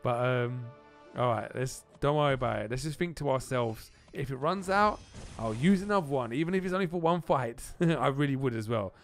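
A man giving casual, excitable gameplay commentary as a video host, often repeating phrases for emphasis. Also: medium pitch, a little fast, soft background music.

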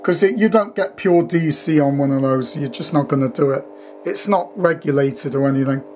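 A man's voice: on the high side, moderate pace, a little mellow. A middle-aged man giving an informal, rambling, matter-of-fact home demonstration as a hobbyist, filming on a handheld camera. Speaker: vintage radio hobbyist presenting own collection. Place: room at home, indoors. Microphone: handheld camera, speaker behind it. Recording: handheld camera audio with clear hum noise. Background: electrical hum from old radio equipment.

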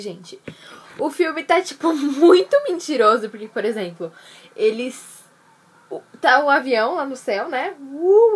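A teenage girl from Brazil talking casually to a phone camera held at arm's length, animated and slangy. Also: fairly high pitch, a little hiss or hum. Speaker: vlogger. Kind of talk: casual day-in-the-life vlog. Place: bedroom and shopping mall, indoors. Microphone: handheld phone camera at arm's length.

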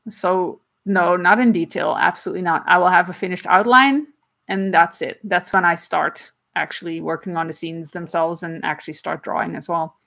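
A woman's voice: lowish voice, fairly even intonation, creaky, a bit bright. Chatty woman hosting a home livestream. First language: not English. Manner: chatty, frequent "um"s and quick laughs, gestures while explaining. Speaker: comic artist and livestream host. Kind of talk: casual livestream Q&A. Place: small home room with bookshelves, indoors. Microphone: webcam at desk distance.

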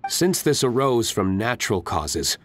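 A man playing a reserved, brooding young nobleman in a video game, calm, formal and measured. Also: medium pitch. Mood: serious, resolute. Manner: composed, formal, measured delivery. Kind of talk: video game character dialogue. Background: background music.

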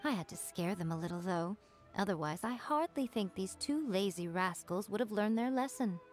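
A woman voicing a teasing, condescending fox shrine priestess in a video game, amused and smooth. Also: medium pitch, animated, moderate pace, darkish tone, close to an everyday voice. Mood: sly. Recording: game audio with faint music.

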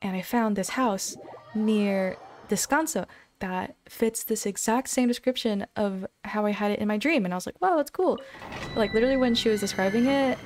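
A young adult woman chatting casually on a game livestream, rambling in an informal, expressive way. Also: mid-range voice, normal speed, slight background noise. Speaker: game streamer. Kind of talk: casual livestream chat over gameplay. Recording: game music behind the voice.

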